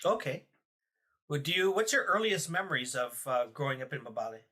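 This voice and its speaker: A man's voice: a little high, very animated, a little fast, a crowd in the background. A man hosting a podcast, interviewing a relative in an encouraging way with follow-up questions. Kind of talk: casual family interview.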